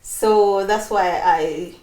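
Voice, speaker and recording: A woman's voice: medium pitch, extremely slow, darkish tone, echoey room. A woman in her thirties with a Kenyan accent, telling her personal story as an interview guest in a bubbly, chatty way. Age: 30s. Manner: bubbly, chatty, with many fillers and laughs.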